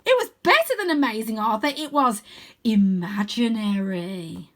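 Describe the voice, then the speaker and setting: Woman voicing an excitable, boastful wizard boy, high-pitched. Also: unhurried. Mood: proud. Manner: exclaiming, showy, emphatic.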